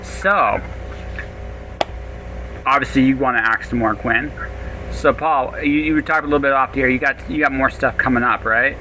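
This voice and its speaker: A man hosting a podcast, asking questions in an informal, halting way and repeating his words. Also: mid-range voice. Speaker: podcast host. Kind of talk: casual interview chat. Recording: clear background noise.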